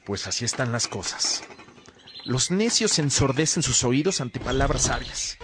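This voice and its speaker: A man's voice: medium pitch, expressive, unhurried, background noise, close to an everyday voice. A man acting as an earnest churchman in a radio drama. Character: Evodio, earnest male churchman among brothers in faith.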